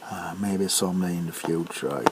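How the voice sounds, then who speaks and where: A man hosting a casual, rambling ASMR video, talking in a halting, unhurried way while he handles and taps items. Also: sits low. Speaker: video host showing items. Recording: clear background noise with clicks. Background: tapping, clicking and crinkling of packaging.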